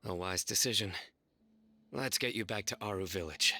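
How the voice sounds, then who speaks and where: A stern, loyal guardian from a video game. His fairly low voice is intense and sharp, recorded dry, and he speaks in terse, serious phrases. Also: normal speed, dry recording, full character voice. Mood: calm, firm. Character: stern, stoic male guardian, quietly loyal and protective.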